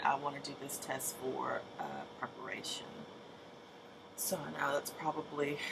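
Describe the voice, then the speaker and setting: Woman, late 30s, deep voice, slow and halting, tired, lots of ums, noisy home recording. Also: creaky. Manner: soft-spoken, hesitant, many ums and restarts. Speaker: vlogger. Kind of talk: casual personal update to camera.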